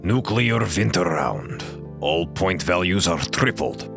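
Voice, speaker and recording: Slow, deep, creaky solemn quizmaster. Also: male voice, animated, music in the background, heavily acted. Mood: solemn, portentous. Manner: ponderous, ceremonious. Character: solemn, formal male quizmaster aboard a spacecraft. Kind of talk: audio drama acting.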